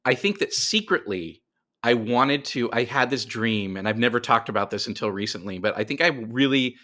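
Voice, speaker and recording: A man's voice: mid-range voice, animated, normal speed, sharp tone. An American man in his forties telling stories casually as an interview guest, in a rambling, self-deprecating way. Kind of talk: casual conversational storytelling.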